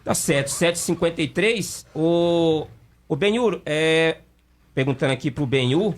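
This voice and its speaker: A man hosting a radio interview, asking questions haltingly with many fillers and restarts. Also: a little high. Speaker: radio show host. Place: radio studio.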